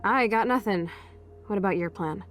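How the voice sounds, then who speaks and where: A woman's voice: medium pitch, normal speed, natural voice. A young American woman playing a brash, rebellious, impulsive punk teenage girl in a video game, cocky and slangy. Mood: cocky, teasing. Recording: music behind the voice.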